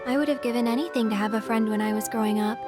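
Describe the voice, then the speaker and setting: An actress plays a young woman adventurer with a high, soft voice. She is gentle, playful and perceptive, speaking in a video game drama style over loud music. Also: racing, lightly acted. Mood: gentle, teasing.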